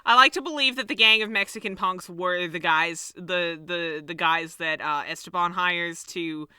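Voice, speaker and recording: A woman with a very bright, clear voice riffs as a podcast co-host. Her manner is casual, playful and sarcastic. She sounds close, in a dry recording. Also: fairly low pitch, normal speed, no room sound. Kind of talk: casual comedic riffing.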